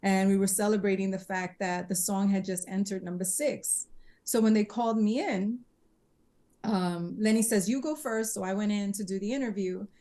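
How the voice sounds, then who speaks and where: A woman's voice: mid-range voice, fairly even intonation, moderate pace, mellow. A woman, a singer chatting as a podcast guest and retelling old exchanges in quoted dialogue. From Bronx, New York. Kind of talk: casual interview storytelling.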